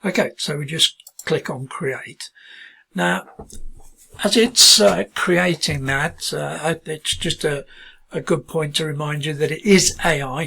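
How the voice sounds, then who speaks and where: A middle-aged British man walks through a piece of software on screen, talking in a relaxed, halting way full of ums and restarts. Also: fairly high pitch, gravelly, slightly rough. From United Kingdom. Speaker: software tutorial presenter. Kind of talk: screen-recorded software walkthrough.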